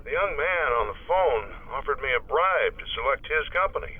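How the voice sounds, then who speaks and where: An actor playing an earnest, formal city councilman in a radio drama, measured, heard through a telephone filter. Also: mid-range voice, expressive, breathy edge. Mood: concerned. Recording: tinny narrow-band phone line.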